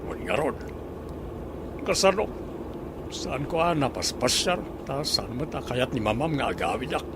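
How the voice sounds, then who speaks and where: A man's voice: medium pitch, animated, unhurried, background noise, lightly acted. An actor with an Ilocano Filipino accent playing a resolute middle-aged father set on going home, in a radio drama. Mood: gentle, reflective.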